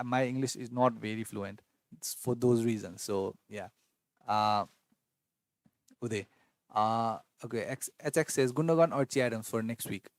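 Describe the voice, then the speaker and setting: A man's voice: fairly low pitch, no room sound. Hesitant man, dry close sound. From Nepal. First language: Newar. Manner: hesitant, many fillers, thinks aloud. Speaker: fantasy football livestream host. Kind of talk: casual live Q&A reading chat.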